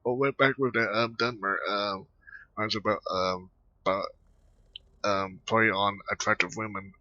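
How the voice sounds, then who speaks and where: A man giving casual game commentary and reading dialogue aloud in a halting, rambling way. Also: lowish voice, fairly even intonation, sharp tone, no room sound, lo-fi sound. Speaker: gaming video host.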